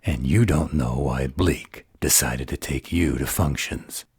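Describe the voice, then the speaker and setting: A man playing a sardonic, flirtatious vampire interrogator in an audio drama, with a slow, sarcastic delivery. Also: a little mellow, no echo, clean studio sound, heavily acted. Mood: sardonic, probing. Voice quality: very deep, gravelly, husky. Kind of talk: dramatic audio roleplay acting.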